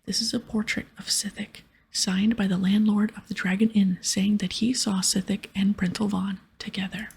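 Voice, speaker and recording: A woman voicing an earnest, polite fantasy-game adventurer in a breathy whisper. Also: mid-range voice, little pitch movement, normal speed, hardly any pauses, darkish tone, rough recording. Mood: persuading. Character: earnest adventurer investigating a crime.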